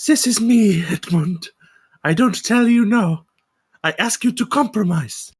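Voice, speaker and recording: A woman's voice: mid-range voice, unhurried, darkish tone, breathy edge. A woman acts out an elegant young aristocratic wife with a furious temper. She speaks as a character in a dramatic table read, firm and wronged. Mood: pleading, firm.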